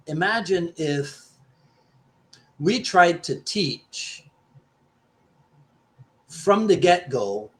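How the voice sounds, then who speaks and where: A man from the Caribbean, a martial arts instructor talking in a casual discussion, thoughtful and full of restarts. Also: a little high. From Trinidad, raised in Barbados. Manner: thoughtful, restarts often, stresses key points.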